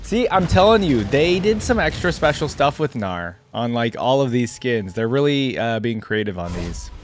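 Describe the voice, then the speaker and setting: A man's voice: mid-range voice, sing-song, moderate pace, a little hiss or hum. A man giving casual reaction commentary as a gamer, thinking aloud in an informal way. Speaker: gamer reacting to game character skins.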